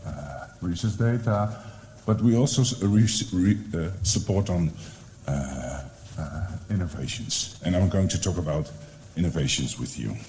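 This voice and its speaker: A man's voice: sits low, expressive, a little slow, warm tone. A middle-aged man with a Dutch accent giving a conference presentation, hesitant with many fillers and restarts. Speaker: library department head. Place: conference room.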